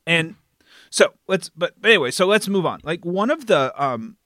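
Animated, bright-voiced male interviewer. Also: high-pitched, moderate pace, dry recording, studio quality. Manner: animated, frequently checking in with "right?". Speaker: podcast host and interviewer. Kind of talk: conversational interview.

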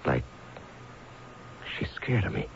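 An actor in a radio drama playing a pardoned convict husband, edgy and insistent, firing colloquial questions. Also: male voice, slow, natural voice. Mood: suspicious, insistent. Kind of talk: old-time radio drama acting. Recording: dull, hissy 1970s radio broadcast.

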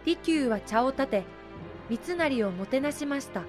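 A woman's voice: high voice, expressive, unhurried, over music. A woman narrating a historical audio drama in a formal, scripted storytelling manner.

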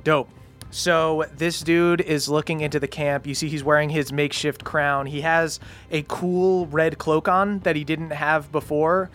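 A man's voice: a little high, fairly even intonation, unhurried, fairly bright tone, soft background music. A man acting as game master, narrating a tabletop game casually in an informal, slangy way.

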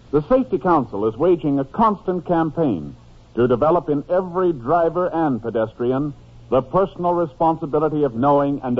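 An American man reading a script as a 1950s radio announcer, formal, measured and earnest. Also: medium pitch, slightly rough. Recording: muffled, narrow-band old radio recording.

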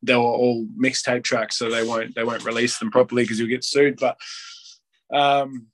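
A man's voice: mid-range voice, level tone, moderate pace, slight background noise. A man, chatting casually on a podcast, rambling with lots of "like" and acting out little quotes. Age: late 20s. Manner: rambling, heavy use of "like", acts out quotes.

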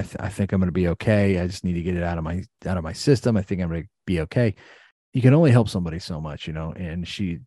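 A man's voice: sits low, mellow, no room sound, studio quality. A middle-aged American man giving an impassioned personal account, blunt and emphatic, repeating phrases and swearing. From Ohio, United States.

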